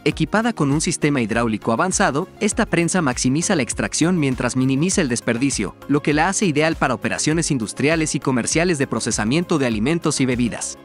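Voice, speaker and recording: A man narrating a scripted promotional explainer in a measured, formal read-out manner. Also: medium pitch, animated. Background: background music.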